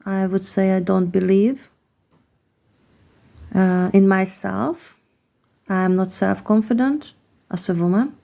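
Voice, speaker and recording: Hungarian-accented woman reading tarot cards, hesitant and unhurried, dark gravelly voice. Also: mid-range voice, fairly even intonation. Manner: hesitant, searching for words with many pauses. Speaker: tarot card reader. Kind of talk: slow, reflective card reading.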